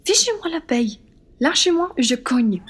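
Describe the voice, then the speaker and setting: A woman's voice: high voice, big pitch swings, a little slow. A woman playing a proud, defiant young Frenchwoman in an amateur radio play, blunt and indignant. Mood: rebuffing, indignant.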